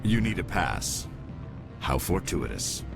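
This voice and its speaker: An actor playing an old alien businessman in a video game, suave and dryly scheming, with measured formal speech. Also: sits low, sing-song, gravelly, heavily acted. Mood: courteous, wry. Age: older. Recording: music behind the voice.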